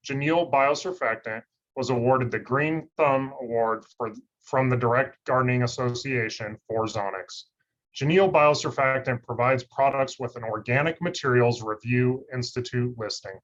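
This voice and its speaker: Man, monotone read-through, company talk over a slightly dull call line. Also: medium pitch. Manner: monotone, steady reading. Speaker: industry research scientist presenting. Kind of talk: scripted webinar presentation. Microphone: video call.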